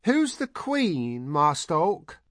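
A man voicing an excitable, simple-minded, childlike woman with shrill exclamations and rustic phrasing in an audiobook. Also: normal speed, no room sound, studio quality. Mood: excited, insistent. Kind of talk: audiobook character voice.

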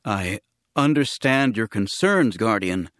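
Uneasy male ambassador, read by a man: diplomatic, earnest, careful, animated delivery, dry studio sound. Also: lowish voice, slow, no room sound. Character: nervous, polite male ambassador, an ordinary man bluffing.

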